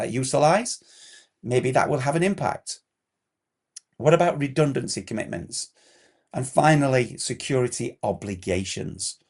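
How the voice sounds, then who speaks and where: A man with a hoarse, croaky throat gives a direct instructional explainer as a compliance consultant, firing off checklist questions. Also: mid-range voice, darkish tone.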